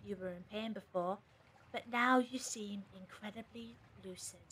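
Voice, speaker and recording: A woman's voice: mid-range voice, moderate pace, background noise, lightly acted. An actress in an audio drama playing a shrewd, confrontational woman who probes and commands. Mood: accusing. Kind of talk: fan audio drama acting.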